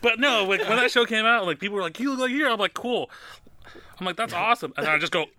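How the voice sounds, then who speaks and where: A man in his thirties chatting casually with friends, animated and playful, often laughing. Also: high voice, quick pace, no room sound. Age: 30s. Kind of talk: casual chat.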